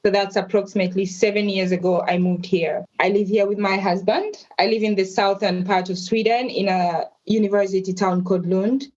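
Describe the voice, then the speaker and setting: A woman's voice: mid-range voice, steady tone, a little mellow. Woman telling her story, slightly muffled. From Tanzania. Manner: reflective, measured storytelling. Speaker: guest. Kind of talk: interview, personal story.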